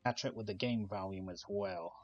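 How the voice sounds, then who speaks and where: Soft-spoken man, low voice, muffled mic. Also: normal speed, faint music behind. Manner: relaxed, many "uh" fillers, explains the game while playing. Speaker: gaming channel host. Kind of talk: casual gameplay commentary. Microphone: desk microphone.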